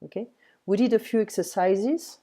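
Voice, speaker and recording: A woman teaching grammar in English, patiently modelling each word slowly. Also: medium pitch, dark tone. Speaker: French tutor. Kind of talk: one-on-one language lesson, explaining grammar.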